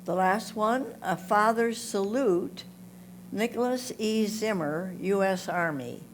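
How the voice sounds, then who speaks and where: A woman reads photo captions out loud at a very slow, measured pace. Her delivery halts a little between phrases. There is a hum and some noise behind her voice. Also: medium pitch. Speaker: reader of photo captions at a photo club presentation. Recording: clear background noise with hum.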